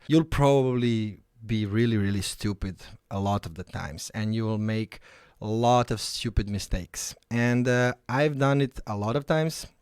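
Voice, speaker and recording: A man's voice: medium pitch, a little mellow, clear, resonant tone, no echo, studio quality. A man in his thirties with a Croatian accent, giving an informal talk introducing himself as a company founder. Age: 30s.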